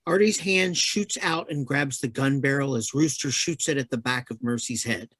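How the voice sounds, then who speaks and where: A man's voice: medium pitch, moderate pace, fairly bright tone, husky, no echo. A man reading stage directions aloud as scripted narration.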